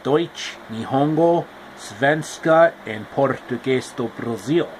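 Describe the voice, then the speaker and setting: A man's voice: mid-range voice, expressive, takes it slowly, a little hiss or hum, low-quality recording. A man retelling a fairy tale in a loose, rambling casual narration with restarts. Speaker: storyteller. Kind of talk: casual improvised story retelling.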